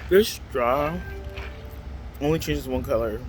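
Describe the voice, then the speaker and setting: A man chatting casually in a rambling vlog, talking loudly into a handheld phone outdoors at a transit stop. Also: mid-range voice, slow pace, soft background music. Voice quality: loud voice. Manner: rambling, chatty, full of fillers. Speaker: vlogger. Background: faint city traffic noise.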